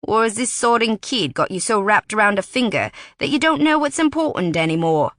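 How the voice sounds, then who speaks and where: A woman's voice: medium pitch, expressive, brisk, a bit bright, no room sound, studio quality, voice pushed up, close to an everyday voice. A woman voicing an impatient, stubborn young British man who scolds urgently. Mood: heated.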